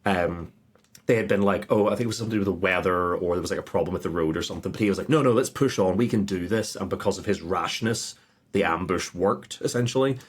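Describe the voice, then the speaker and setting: A husky-voiced man chatting casually and anecdotally as a game master guest. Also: sits low, fast. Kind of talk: casual anecdotal chat, with scripted dramatic trailer narration.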